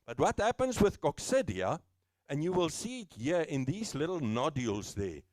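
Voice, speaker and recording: Loud South African man teaching farmers. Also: on the high side, big pitch swings, normal speed. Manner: explanatory, repeats himself, restarts phrases; says he has a loud voice. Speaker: livestock health presenter. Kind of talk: live expo talk to farmers. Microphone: handheld mic, later speaks without it.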